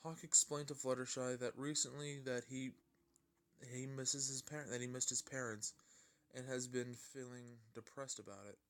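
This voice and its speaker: A man's voice: medium pitch, little pitch movement, moderate pace. A man narrating a fan fiction story in an amateur style, often stumbling and rereading his lines.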